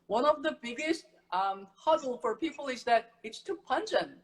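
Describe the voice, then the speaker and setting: A middle-aged woman from Korea talks through how she makes kimchi in a relaxed chat. Her voice sits a little high, and she gets lively as she explains with everyday comparisons. She sounds like a teacher sharing something she loves. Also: normal speed, poor audio. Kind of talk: casual cooking chat.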